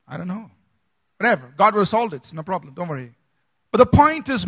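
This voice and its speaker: A man from India, a pastor preaching a sermon with dramatic swings between loud emphasis and soft asides. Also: high-pitched.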